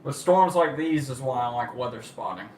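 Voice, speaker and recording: A man's voice: medium pitch, animated, moderate pace, darkish tone. A man casually narrating an amateur livestream, rambling and thinking aloud as he goes. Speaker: amateur weather spotter livestreaming. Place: at home during a storm. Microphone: phone. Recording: noisy amateur livestream, ambient noise.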